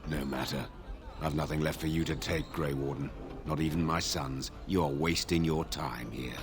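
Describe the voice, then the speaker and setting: An actor playing a bitter, weary older nobleman in a fantasy video game, gruff, curt and dismissive. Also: very deep voice, fairly even intonation, normal speed. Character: bitter, weary older nobleman who lost his sons. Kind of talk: video game dialogue acting.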